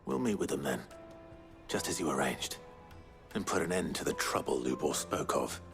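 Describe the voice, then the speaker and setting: Very deep-voiced brooding British hero. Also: male voice, expressive, normal speed, music in the background. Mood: stern. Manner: terse, serious, plain-spoken. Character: brooding, blunt male fantasy hero, a lord's nephew. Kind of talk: video game voice acting.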